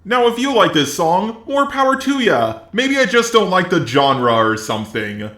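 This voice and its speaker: A man's voice: high voice, sing-song, moderate pace, sharp tone, roomy sound, faint music behind. A man's synthetic robot voice narrating a scripted review with sarcastic, profane asides. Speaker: video reviewer.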